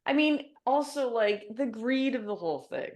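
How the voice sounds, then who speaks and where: A woman's voice: fairly high pitch, expressive, moderate pace, darkish tone. A woman in a casual book club discussion, arguing her point in an indignant way.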